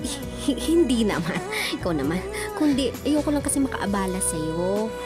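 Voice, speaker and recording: Radio drama role: girlish, gushing young Filipina in love, highish animated voice, music underneath. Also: moderate pace. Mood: shy, pleased. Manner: gushing, girlish, sprinkles English slang. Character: giddy, hopeful young woman with a crush. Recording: music bed behind the voice.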